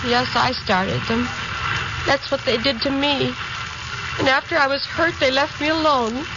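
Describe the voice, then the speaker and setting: An actress playing a lonely, bitter older wife turned arsonist in a 1950s radio drama. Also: female voice, high voice, a little slow. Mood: bitter, wounded. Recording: noisy, muffled 1950s radio recording.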